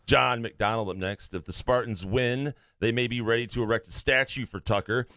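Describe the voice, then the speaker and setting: A man's voice: medium pitch, fairly even intonation, normal speed, bright, forceful tone, no echo, clean studio sound. A man co-hosting a sports podcast, reading listener questions and chiming in with blunt jokes. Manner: blunt, wisecracking. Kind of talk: casual sports talk.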